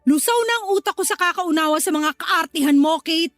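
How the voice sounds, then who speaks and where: A woman's voice: extremely high pitch, brisk, a bit bright, dry recording, soft background music. A Filipino actress playing a strict, bossy older sister in her thirties who scolds and nags in a radio drama. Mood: exasperated, challenging.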